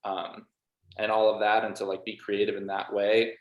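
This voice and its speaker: A man's voice: fairly low pitch, little pitch movement, normal speed. A man with a laid-back, rambling way of talking, full of "like", answering casually as a musician in an interview. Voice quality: casual, filler-heavy speech with frequent "like". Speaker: band member and music producer being interviewed. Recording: roomy, echoey sound.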